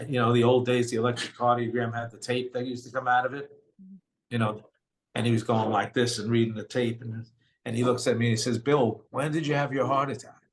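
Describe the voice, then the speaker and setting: An older man with a New York accent earnestly telling his own story, often quoting what others said to him. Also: low-quality recording. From Brooklyn, New York. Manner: earnest, retells dialogue in quotes, voice drops low and quiet at hard moments. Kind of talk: personal storytelling.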